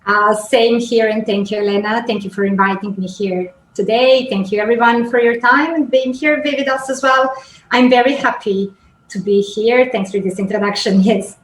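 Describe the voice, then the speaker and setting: A woman's voice: on the high side, normal speed, a little hiss or hum. Serbian woman guest in an echoey room. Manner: hesitant, with frequent ums and restarts. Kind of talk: casual interview conversation.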